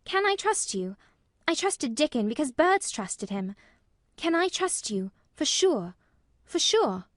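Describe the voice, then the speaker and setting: A woman acts out a young storybook girl with a high voice. She is blunt, solemn and earnest, speaking emphatically and plainly in a dry, close sound. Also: animated, normal speed, no room sound. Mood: anxious. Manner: frank, matter-of-fact, emphatic. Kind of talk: acted storybook dialogue.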